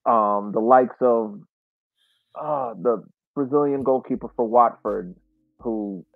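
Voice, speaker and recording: A man co-hosting a casual sports podcast, speaking haltingly and searching for his words. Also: mid-range voice, gravelly, no room sound, soft background music. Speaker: podcast co-host. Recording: tinny, dry phone-like call audio.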